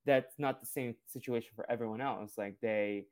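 A man in his twenties hosts a podcast in easygoing conversation. His first language is Portuguese. He speaks at a middle pitch and rambles loosely through his points. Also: normal speed, smooth. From Brazil. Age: 20s. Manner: relaxed, rambling, many fillers. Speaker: podcast host. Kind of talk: casual interview chat.

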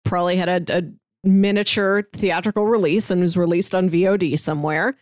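A woman's voice: fairly low pitch, fairly even intonation, brisk, darkish tone, no echo. Chatty woman co-hosting a podcast, close dry sound. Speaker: podcast co-host. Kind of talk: casual podcast chat, pitching films and reading synopses.

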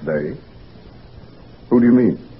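An actor playing an anguished, secretive convalescent gentleman doctor in a brooding, melodramatic 1940s radio drama style. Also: lowish voice, animated, slow. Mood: uneasy. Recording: noisy, tinny 1940s radio recording.

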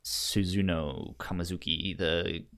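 A man co-hosting a casual review podcast, halting and stumbling over the starts of words. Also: deep voice, level tone, vocal fry, a bit bright. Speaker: podcast co-host reviewing anime.

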